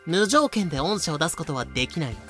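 A man's voice: fairly high pitch, very animated, quick pace, bright, forceful tone, soft background music. A man voicing a shrewd colonial governor in an audio drama, casual, persuasive and a little condescending. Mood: smooth, businesslike.

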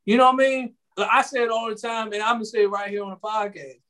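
A man's voice: sits high, fairly even intonation, fast talker, sharp tone. A man in his late twenties talking casually on a podcast, rambling and slangy, often repeating his words. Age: 20s. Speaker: podcast co-host.

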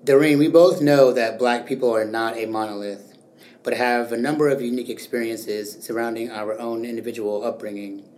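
A young man hosting an educational interview podcast, reading prepared definitions and asking measured questions. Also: mid-range voice, steady tone, a little mellow. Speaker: podcast host. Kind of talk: semi-scripted educational interview.

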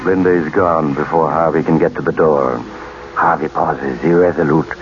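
Deep-voiced man narrating a radio drama. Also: faint music behind. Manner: calm, measured storytelling. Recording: muffled old radio recording with loud hiss.

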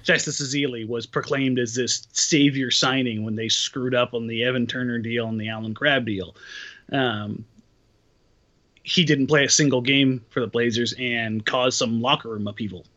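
A man with an even, mid-pitched voice talks through a casual podcast, steady and analytical with a dry, wry edge. The audio is slightly dull and lo-fi. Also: moderate pace. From Portland area. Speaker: podcast guest, basketball writer.

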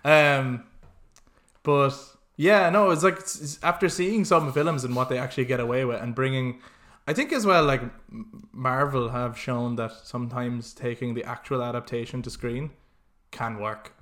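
A man co-hosting a podcast, chatting casually with animated, sweary rants and acted-out reactions. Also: mid-range voice, normal speed. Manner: animated, sweary ranting, acts out imagined reactions. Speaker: podcast co-host. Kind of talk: casual chat about films and games.

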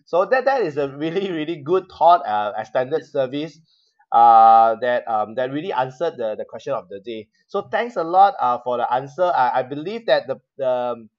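A young man hosts a relaxed chat, stumbling and repeating himself as he hunts for words. His voice comes through a dull, lo-fi line. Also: medium pitch, moderate pace. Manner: hesitant, many fillers and repeated words. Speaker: show host. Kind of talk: casual interview chat. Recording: dull lo-fi sound.

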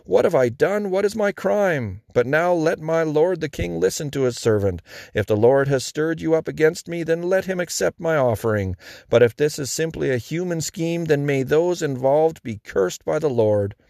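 A man's voice: a little high, lively intonation, moderate pace, gravelly, a little mellow, no echo, studio quality, voice pushed up. A man voicing a bold young warrior who calls out emphatically in a dramatized scripture reading. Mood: aggrieved pleading. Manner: emphatic, rhetorical, calling out. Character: bold young warrior David, taunting and earnestly pleading.

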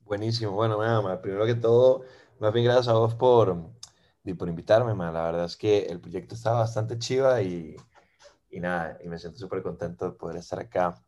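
A Venezuelan-born man in his thirties with a Costa Rican accent, chatting casually as a podcast guest and filling pauses with "ma" and "eh". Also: lowish voice. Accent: Costa Rican Spanish. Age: 30s. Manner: relaxed, fills with "ma" and "eh". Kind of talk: casual interview chat.

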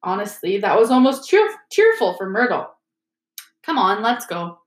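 A woman voicing a brisk, tactful schoolgirl who coaxes and placates in a storybook reading. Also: medium pitch, sing-song, reverberant, close to an everyday voice. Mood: weary.

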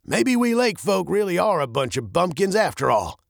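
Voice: mid-range voice, big pitch swings, moderate pace, gravelly, no echo, clean studio sound, pitched above the performer's own voice. A storybook narrator voicing a genial, plain-spoken male captain with a folksy, modest manner. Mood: sheepish. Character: genial, self-deprecating male captain from lake folk.

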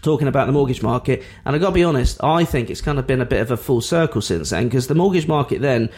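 A man's voice: mid-range voice, expressive, fast, darkish tone. A man in his forties from England, co-hosting a casual podcast as an estate agent, chatty and blunt, swearing now and then.

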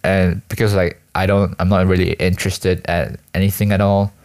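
A man's voice: low voice, flat delivery, moderate pace, a little mellow, clean tone. A young man from Malaysia, a student, rambling casually in a hesitant, self-deprecating, slangy way. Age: teens to young adult, school student. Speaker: student vlogger and aspiring actor.

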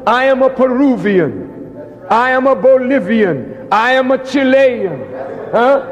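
A man giving a public lecture like a preacher, deliberate and emphatic, with repeated phrases and rhetorical questions. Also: extremely high pitch, expressive. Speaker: preacher and orator. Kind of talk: public lecture, oratory to an audience. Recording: dull, reverberant hall recording.

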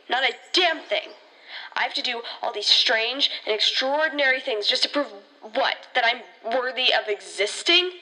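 A woman's voice: high voice, sharp tone, exaggerated voice, poor audio. A woman playing an anxious, defensive teenage rookie ranger in an audio drama, insistent and sarcastic.

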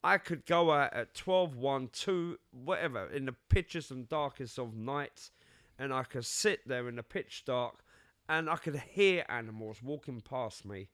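A man in his fifties speaks with a London accent in a casual podcast chat. His talk is animated, swinging between loud and soft, with repeated words as he gathers his thoughts. The sound is dry and close. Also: mid-range voice, measured pace, no room sound. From England. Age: 50s. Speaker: podcast co-host.

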